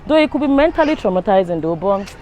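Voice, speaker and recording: A woman's voice: mid-range voice, sing-song, quick pace, darkish tone. A young woman with a Nigerian accent chatting casually in a street interview. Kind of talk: casual chat. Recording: faint outdoor traffic noise.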